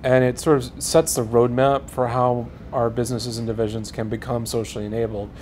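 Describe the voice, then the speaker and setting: A man with a mid-pitched voice explains in corporate terms, pausing on a few fillers. He is on a studio panel, with a faint rumble behind. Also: flat delivery, darkish tone. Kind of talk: panel discussion.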